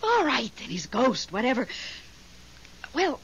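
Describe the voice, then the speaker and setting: A woman's voice: fairly high pitch, sing-song, measured pace, a bit bright, heavily acted. A woman playing an emotional, clinging widow with a dramatic, wistful, insistent manner in 1970s radio drama style. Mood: breathless, excited. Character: emotional, clinging widow named Melba, devoted to dead husband. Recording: dull 1970s radio recording, noisy.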